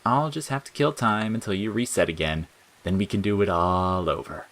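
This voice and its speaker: A man's voice: on the low side, moderate pace, fairly bright tone, lightly acted. A man voicing a bitter, bored talking flower in an audio story, sarcastic and snappish. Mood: snappish, impatient. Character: sneering bitter talking flower, spiteful bored male monster. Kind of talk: audio fiction character acting.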